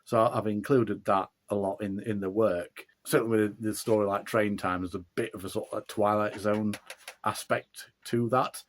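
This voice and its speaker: A man's voice: lowish voice, fairly even intonation, normal speed. A middle-aged man with a broad Yorkshire accent, an author chatting casually in an interview, rambling with fillers and restarts.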